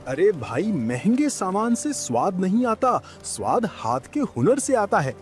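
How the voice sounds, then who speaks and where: Man acting blunt village big shot, high voice, wildly swooping intonation, exclamatory, cartoon storybook. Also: big pitch swings, measured pace, faint music behind. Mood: disgusted, scolding. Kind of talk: animated Hindi storybook dialogue.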